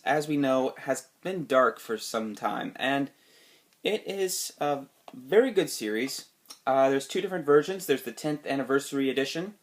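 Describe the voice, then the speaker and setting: A man with a slightly sniffly voice from a recent cold hosts his own casual video. He talks loosely and unscripted, restarting sentences and filling pauses with "uh" and "um.". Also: medium pitch. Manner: rambling, many fillers and restarts. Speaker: video game collector vlogger.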